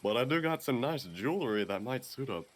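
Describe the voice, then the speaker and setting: A man voicing a shady, awkward traveling merchant in a game, flirting hesitantly as he pitches his wares. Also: expressive, fairly quick, slight background noise, lightly acted. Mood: awkward, flirty. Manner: hesitant, salesman-like.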